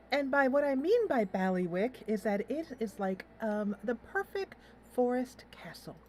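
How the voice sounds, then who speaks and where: A woman's voice: fairly high pitch, expressive, slow pace, darkish tone, soft background music. A woman hosting a casual let's play, thinking aloud in a playful, giggly way as she comments on the game. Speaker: host of a gaming channel.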